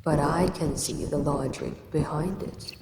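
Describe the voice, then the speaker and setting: A woman voicing a giant ancient female kaiju queen in an audio roleplay, with slow, measured, lordly speech. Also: low-pitched. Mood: musing. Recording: echoey reverb with ambient noise.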